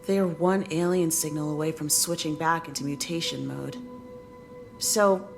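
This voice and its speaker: Concerned woman crewmate, lowish voice. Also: fairly even intonation, moderate pace, gravelly, faint music behind. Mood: serious concern. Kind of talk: video game cutscene acting.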